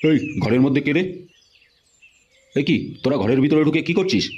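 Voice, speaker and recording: A man's voice: on the high side, animated, a little fast, a little mellow, dull audio, slight background noise, natural voice. A man voicing a blunt, nosy adult village man in a Bengali comedy cartoon, asking direct questions. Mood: angry.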